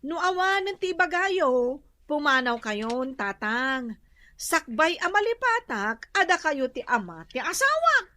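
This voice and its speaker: A woman's voice: high-pitched, very animated, unhurried, sharp tone, full character voice. A woman with an Ilocano accent playing a defiant, quarrelsome young wife in a radio drama, scolding and emotional. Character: sharp-tongued, quarrelsome young wife, defiant and self-pitying.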